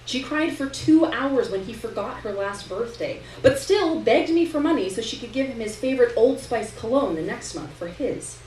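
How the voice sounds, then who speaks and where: A woman's voice: on the high side, lively intonation, normal speed, hardly any pauses, darkish tone. Woman reading fiction aloud, roomy and distant. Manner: dramatic delivery, acting out dialogue. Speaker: reader of fiction at a live literary event. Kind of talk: expressive first-person story narration. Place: indoor room at a live reading. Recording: roomy, distant live recording with noise.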